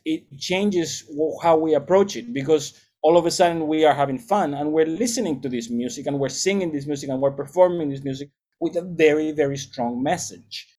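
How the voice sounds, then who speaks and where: A man's voice: on the high side, warm tone. A middle-aged man, a music educator and salsa band leader, giving thoughtful, lecture-like interview answers with frequent restarts.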